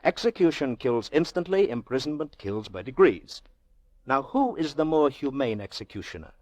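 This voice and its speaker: A man playing a haughty, very wealthy middle-aged gentleman in an old-time radio drama, speaking in pompous rhetorical flourishes with drawn-out emphasis. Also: mid-range voice, sing-song, no room sound. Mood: scornful, argumentative. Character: haughty, very wealthy Russian gentleman, goading and theatrical.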